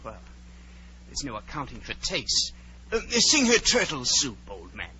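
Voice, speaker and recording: A man's voice: mid-range voice, wildly swooping pitch, a little slow, noisy background. A man playing a brusque, bossy, uneducated griffin in a 1940s radio drama, with folksy, ungrammatical speech. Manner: gruffly impatient, folksy and ungrammatical. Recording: dull, lo-fi 1940s radio recording.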